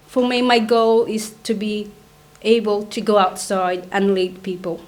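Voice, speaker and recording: A woman's voice: medium pitch, level tone, a little slow. Woman speaking simply and keenly. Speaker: program leader.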